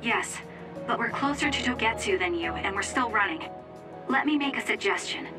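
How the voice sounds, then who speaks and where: A woman's voice: mid-range voice, expressive, normal speed, over music, natural voice. A woman voicing a businesslike young female platoon leader calling in, in an anime-style game. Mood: brisk, businesslike.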